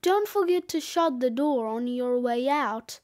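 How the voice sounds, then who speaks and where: Gruff wise-guy snowman for a children's audiobook, played by a woman: bossy, cheeky, unhurried. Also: sits high, expressive, darkish tone, no echo, clean studio sound, pitched below the performer's own voice. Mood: smug, brash. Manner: slangy, brash, dismissive.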